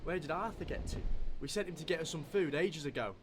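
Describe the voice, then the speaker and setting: A man's voice: a little high, expressive, fast talker, natural voice. A young man with a British accent playing an easygoing teenage soldier in a First World War audio drama. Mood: casual. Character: easygoing underage British soldier, streetwise lad. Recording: noisy wartime sound effects behind.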